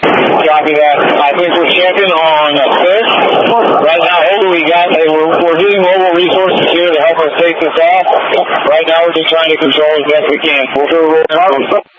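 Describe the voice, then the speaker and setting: A man's voice: a little high, fairly even intonation, normal speed. A man working as an emergency operator, making calls over the radio. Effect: radio transmission. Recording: muffled, noisy police radio.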